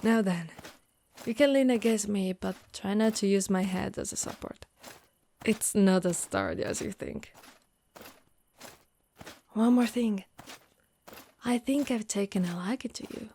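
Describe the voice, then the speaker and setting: A woman voicing a gentle, protective undead warrior woman in an ASMR monster roleplay, speaking softly and reassuringly close to the mic. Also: medium pitch, unhurried, creaky, pauses often, slight background noise. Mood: shy, tender. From Italy. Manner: soft, caring, reassuring.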